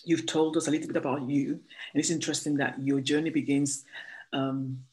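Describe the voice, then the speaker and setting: An interview host who reads a deliberate introduction and then asks halting, restarted questions. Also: mid-range voice, little pitch movement, darkish tone, poor audio. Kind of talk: interview hosting.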